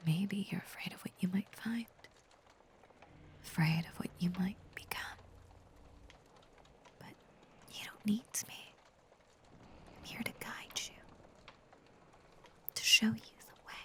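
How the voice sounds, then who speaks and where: Slow, whispering possessive werewolf woman, acted by a woman. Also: medium pitch, sharp tone. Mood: coaxing, possessive. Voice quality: breathy, whispery. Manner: slow, lingering, intimate delivery with long pauses. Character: possessive, obsessively devoted female werewolf lover. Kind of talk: intimate roleplay audio monologue.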